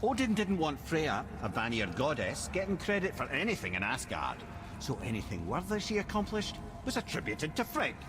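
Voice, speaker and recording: An actor playing a wise, wry older Scottish storyteller in a video game, with a lilting, theatrical delivery that savours old lore. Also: male voice, fairly high pitch, huge pitch swings, normal speed, noisy background. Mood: explanatory.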